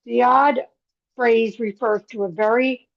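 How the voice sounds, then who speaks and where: A woman's voice: a little high, fairly even intonation, unhurried. A woman reading aloud from a book as a participant in a study group, recorded in dull, lo-fi sound. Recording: dull lo-fi audio.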